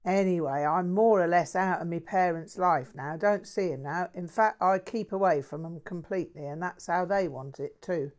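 A woman's voice: lowish voice, moderate pace, hardly any pauses, lightly acted. A woman voicing a crude bloke. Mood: ranting. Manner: swearing, slangy, ranting. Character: crude brash working-class London man.

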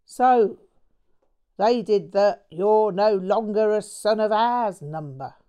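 A woman's voice: medium pitch, slow pace, mellow, clean tone, close to an everyday voice. A woman voicing a brash, foul-mouthed English man who is bitter, manic and full of slang in an audiobook. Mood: ranting. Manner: swearing, slangy, bursts of manic cackling. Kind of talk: audiobook character voice.

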